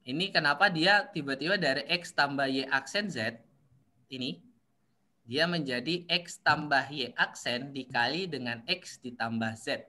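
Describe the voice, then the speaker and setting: Bright-voiced man lecturing over a call. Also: medium pitch. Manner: halting, frequent fillers and restarts, often checks understanding. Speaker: university lecturer. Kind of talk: online class lecture. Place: online meeting. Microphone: video call.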